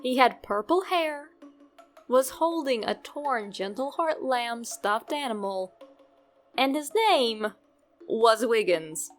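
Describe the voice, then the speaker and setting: Female horror-story narrator, higher register, expressive delivery with dramatic pauses, music bed underneath. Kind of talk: scripted creepypasta narration.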